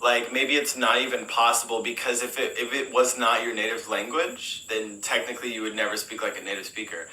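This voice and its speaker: An American man, an English teacher, answering interview questions in a relaxed, rambling way, full of fillers and restarts. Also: medium pitch, brisk, hardly any pauses, roomy sound, low-quality recording. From United States.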